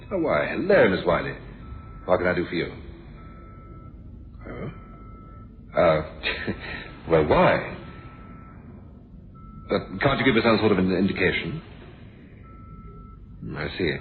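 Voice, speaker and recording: An actor playing a measured, dryly thoughtful police inspector in a radio drama, polite and understated in manner. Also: male voice, low voice. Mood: puzzled, polite. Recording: dull, hissy old radio recording.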